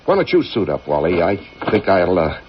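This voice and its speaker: An American actor playing a middle-aged, uneasy, secretive astronaut in a 1980s radio drama, hesitant and guarded. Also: male voice, deep voice, sing-song, normal speed, slight background noise. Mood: nervous, insistent. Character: uneasy, secretive veteran astronaut nearing retirement. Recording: lo-fi old radio broadcast, faint music.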